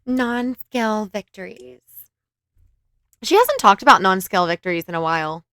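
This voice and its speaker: A woman's voice: mid-range voice, expressive, normal speed, a bit bright, clear, resonant tone, no echo. A woman reacting to clips in a sarcastic, laughing commentary, swearing now and then. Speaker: commentary host.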